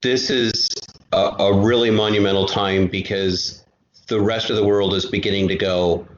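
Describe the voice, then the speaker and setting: A man with a slightly low voice chats casually and emphatically as an interview guest. He is recorded in a roomy space with a dull tone and faint background noise. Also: steady tone, moderate pace. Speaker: guest podcast creator.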